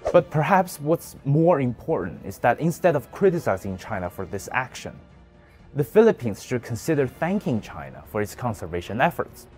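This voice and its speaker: Young man, news commentary, animated intonation, pointed, faint music behind. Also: medium pitch, moderate pace. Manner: emphatic, pointed delivery. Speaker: news presenter.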